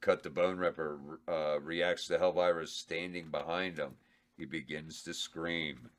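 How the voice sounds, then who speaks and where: A man with a very low voice reads out stage directions at a script table read. He keeps a matter-of-fact tone, even through the bawdy parts. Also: unhurried. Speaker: narrator reading stage directions. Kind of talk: reading script directions aloud.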